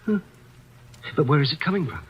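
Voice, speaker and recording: A man playing an animated, curious companion. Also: fairly high pitch, fairly quick, slight background noise, close to an everyday voice. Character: Doc, curious male companion. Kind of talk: 1940s radio acting. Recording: dull old radio recording.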